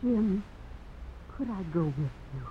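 A woman's voice: mid-range voice, expressive, slow. An actress playing a lovelorn, determined married woman in a 1950s radio melodrama, pleading and intense. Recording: dull, noisy old radio broadcast.

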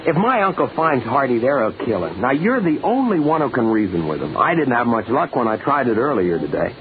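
An actor playing an earnest, anxious young nephew in a 1940s radio drama. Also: male voice, mid-range voice, big pitch swings, brisk, background noise. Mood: anxious, urgent. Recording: tinny old radio recording, crowd murmur.

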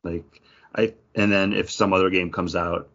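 A man with a husky voice explaining things informally as a podcast co-host in casual chat. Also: deep voice, level tone, moderate pace, a bit bright, dull audio. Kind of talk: casual chat about games and comics.

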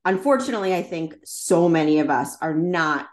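A woman's voice: deep voice, animated, normal speed. A woman from the United States hosting a podcast interview, speaking in an enthusiastic, chatty way. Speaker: podcast host and parent.

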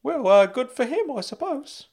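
A man voicing a kindly, fussy older uncle in an audiobook story, earnest and excitable. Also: sits high, normal speed, pitched above the performer's own voice, heavily acted. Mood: worried. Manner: excitable, earnest, swooping delivery. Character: kindly older uncle, fussy, caring, fond of dad jokes.

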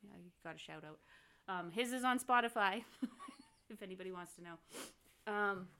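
A woman's voice: mid-range voice, moderate pace, sharp tone, clean tone. A woman hosting a casual podcast from her home office, chatting loosely, giggling and drifting into side stories. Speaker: podcast host. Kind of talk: casual chat.

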